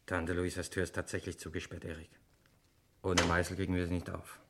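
An actor playing a smooth-talking, coolly conspiratorial man in a 1960s German radio drama. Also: low-pitched, monotone, brisk. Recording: faintly noisy old radio recording.

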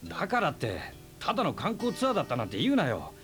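An actor playing a brash, teasing man who talks in a rough, casual, wry way in a radio drama. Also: on the high side, wildly swooping pitch, fast talker, over music. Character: brash, teasing young man, stubborn and playful. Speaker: houseguest.

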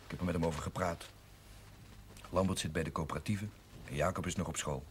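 An actor playing a stubborn, resentful young grocer in a 1970s television drama, blunt and terse. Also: male voice, low voice, fairly even intonation, quick pace. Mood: determined. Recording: noisy 1970s television soundtrack.

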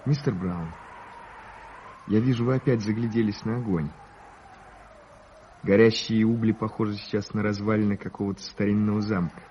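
A curious, courteous gentleman, played by a male actor speaks in a vintage radio play. His voice is somewhat low and gently playful. The recording is muffled and a little noisy. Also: fairly even intonation, normal speed, long pauses, close to an everyday voice. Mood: musing. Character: curious, gently teasing gentleman listening to a tale. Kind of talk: 1980s Soviet radio drama acting.

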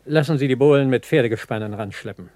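An actor playing a brisk lieutenant general in a 1960s German radio play, speaking in a clipped, authoritative military manner. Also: male voice, mid-range voice, a little mellow, no echo, natural voice. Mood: brisk, commanding. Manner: clipped, authoritative, matter-of-fact military delivery.

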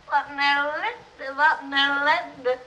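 A woman playing a prim, moralising but soft-hearted nursing sister in a radio play, earnest and fretful. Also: high voice, steady tone, slow pace, background noise. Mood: miserable.

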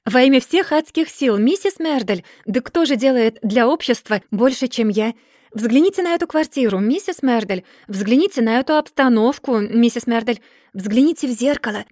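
A woman voicing a distracted, gloomy rich gentleman who mumbles and then rants in a storybook reading. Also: mid-range voice, moderate pace, no room sound, studio quality, close to an everyday voice. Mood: furious ranting. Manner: absent-minded, mumbling, then bursting into furious ranting.

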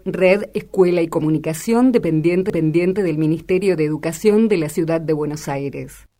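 A woman's voice: low voice, moderate pace, heavy background noise. A woman reading a scripted radio programme ident as an announcer.

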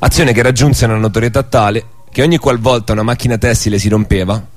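A man's voice: mid-range voice, fast talker, no room sound. A man hosting a radio programme, narrating a scripted historical documentary. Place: radio studio. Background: music.

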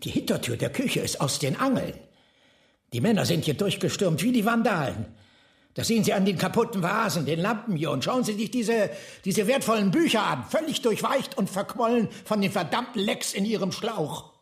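Radio drama role: indignant eccentric man, emphatic and scornful, swooping pitch with a gravelly edge. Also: expressive, normal speed. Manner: ranting, emphatic, bitingly scornful. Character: cantankerous, indignant eccentric brother ranting at the world.

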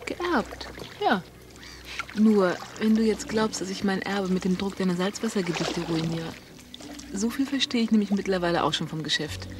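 A woman's voice: medium pitch, close to an everyday voice. A woman plays brash heiress Amelie. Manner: blunt, slangy, bossy. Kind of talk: radio drama acting.

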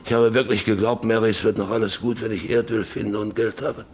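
A man's voice: fairly low pitch, flat delivery, brisk, slight background noise, lightly acted. A man acting a brooding, embittered, obsessed landowner in a German radio play, with insistent, stage-trained delivery. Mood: remorseful. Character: embittered, obsessed, paralysed landowner John Beverly drilling for oil.

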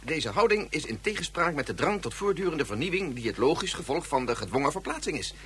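A man's voice: on the high side, sing-song, fast talker, a bit bright, slight background noise. An actor playing an earnest male physicist and father who talks in a thoughtful way. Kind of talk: radio play acting.

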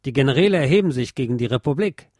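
A man's voice: medium pitch, lively intonation, racing, darkish tone, no room sound, studio quality. A man reading a scripted historical narration aloud as a narrator.

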